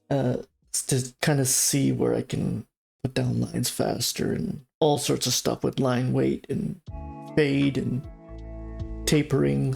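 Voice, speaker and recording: A man with a mid-range, creaky voice roughened by a sore throat from a cold. He hosts a livestream class in an informal, halting way, explaining as he goes. Faint music plays behind him. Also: mid-range voice, darkish tone. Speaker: art class livestream host and instructor. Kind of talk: casual livestream instruction.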